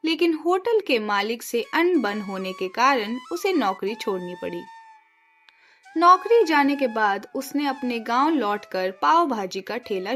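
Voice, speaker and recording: A woman's voice: a little high, fairly bright tone. A woman narrating a scripted children's story as a storyteller. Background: background music.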